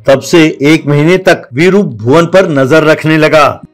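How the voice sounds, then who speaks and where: Male storyteller, fairly high pitch, scripted narration, faint background music. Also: slow pace.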